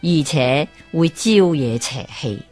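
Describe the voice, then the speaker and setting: A woman as a calm, wise old master. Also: low-pitched, soft background music, full character voice. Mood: solemn. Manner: measured, solemn.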